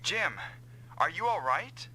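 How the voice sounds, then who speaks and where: An actor playing an earnest, self-doubting comrade pilot who is eager to help, in a dubbed anime-style video game. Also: male voice, sits high, sing-song, slow, heavy background noise, exaggerated voice. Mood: worried.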